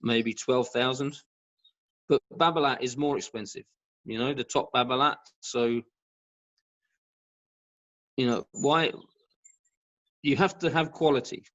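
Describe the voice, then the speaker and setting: A man speaking as an expert guest in an informal discussion on a video call, halting and repeating words for emphasis. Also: mid-range voice, a bit bright. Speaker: guest expert, professional badminton racket stringer. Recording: dull lo-fi video call audio.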